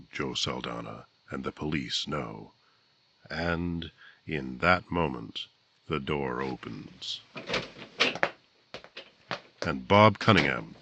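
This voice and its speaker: A man's voice: a bass voice, bright, forceful tone, husky, exaggerated voice. A man acting as the grave narrator of a 1950s-style radio crime drama, telling it with suspense.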